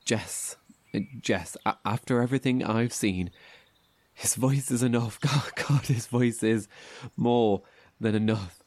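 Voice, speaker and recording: A man's voice: mid-range voice, darkish tone, dry recording, lightly acted. Man playing anxious, stammering devoted brother. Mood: emotional, hopeful. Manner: stammering, halting, emotional. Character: anxious, devoted, grief-stricken brother surviving apart. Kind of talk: audio horror drama acting.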